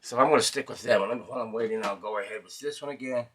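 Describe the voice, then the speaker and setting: A man's voice: mid-range voice, rapid-fire. Man muttering through a radio repair, chatter behind. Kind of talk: casual thinking-aloud repair demonstration.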